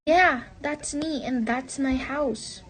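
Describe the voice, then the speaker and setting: Woman acting a high-voiced, distressed young girl. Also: slow pace. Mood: indignant disbelief. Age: young adult. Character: distressed, pleading orphaned young cottage girl. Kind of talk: student radio drama acting. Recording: music and crowd sounds behind.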